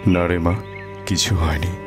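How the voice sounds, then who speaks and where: A fairly low-voiced, doting village father, played by a man in a cartoon story. He speaks with tender affection and gentle expression, over clearly audible music. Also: little pitch movement, measured pace. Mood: sorrowful.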